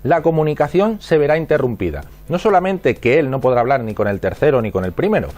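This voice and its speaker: A man's voice: medium pitch, sing-song, fast, darkish tone. A man with an accent from Spain reading scripted instructional narration in a methodical, didactic manner. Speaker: narrator of a product explainer.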